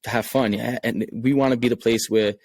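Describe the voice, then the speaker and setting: A man's voice: medium pitch, steady tone, a little fast, slightly rough, dry recording. A man with a Rhode Island accent, a game creator talking casually and earnestly on a podcast, often repeating words as he thinks. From Rhode Island, USA.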